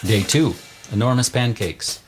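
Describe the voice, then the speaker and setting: A man narrating a documentary in a relaxed, conversational storytelling way, trading lines with a partner. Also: medium pitch, unhurried, heavy background noise.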